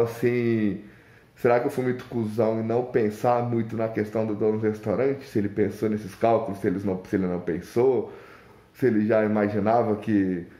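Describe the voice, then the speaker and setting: A young man from Minas Gerais with a mineiro accent talks through his thoughts in a loose, hesitant vlog monologue. His voice is mellow and soft-edged, and he circles back with fillers and restarts. The room around him rings noticeably. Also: on the low side, echoey room. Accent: Mineiro Brazilian Portuguese. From Minas Gerais, Brazil. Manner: hesitant, thinking aloud with many restarts and fillers. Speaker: vlogger. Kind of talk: rambling casual monologue.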